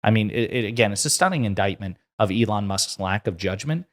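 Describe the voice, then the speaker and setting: A man's voice: fairly low pitch, expressive, brisk. An American man hosting political commentary, talking casually in an emphatic, argumentative way. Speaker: host commentator.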